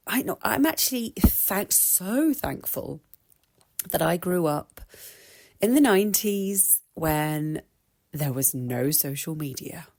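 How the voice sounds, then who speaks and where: A woman's voice: lowish voice, lively intonation, takes it slowly, a little mellow. A woman in her thirties with an English accent hosts her own podcast in a rambling, chatty monologue, a little congested from an oncoming cold. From England. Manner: chatty, rambling, with many restarts and laughs. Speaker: podcast host. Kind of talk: casual solo podcast monologue.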